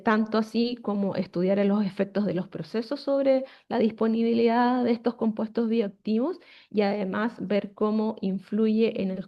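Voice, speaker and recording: A woman researcher gives an online conference talk, explaining steadily and pausing often on "eh". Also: mid-range voice, lo-fi sound. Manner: steady explanatory, frequent "eh" fillers. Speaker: researcher presenting.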